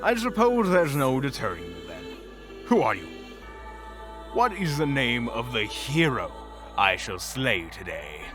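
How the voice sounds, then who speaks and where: An actor playing a vain, easily flustered evil sorcerer in a fantasy audio drama, pompous and grandiose with dramatic pauses. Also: male voice, mid-range voice, sing-song, music in the background. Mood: menacing, mocking. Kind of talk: fantasy audio roleplay acting.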